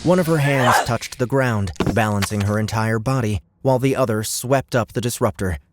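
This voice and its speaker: A man's voice: medium pitch, normal speed, over loud music. A man reading dramatic scripted narration as an audiobook narrator.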